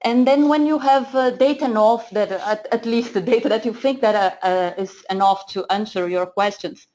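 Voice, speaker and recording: A woman's voice: medium pitch, normal speed, darkish tone. Hesitant woman with a Portuguese accent. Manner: hesitant, many fillers and restarts. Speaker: data analytics trainer. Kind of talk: slide presentation lesson. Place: screen-share presentation, voice off camera.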